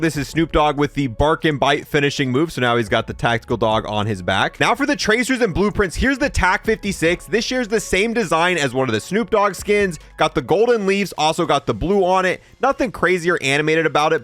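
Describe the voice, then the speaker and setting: A man's voice: a little high, a bit bright, no room sound. A man giving casual, informal video game review commentary, thinking aloud as he goes. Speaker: gaming video host and reviewer.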